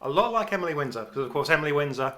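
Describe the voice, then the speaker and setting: A man's voice: fairly high pitch, lively intonation, racing, a bit bright. A man with an English accent co-hosting a casual podcast, talking informally with frequent restarts. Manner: rambling, many restarts, informal. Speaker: podcast co-host. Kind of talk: casual cricket podcast chat.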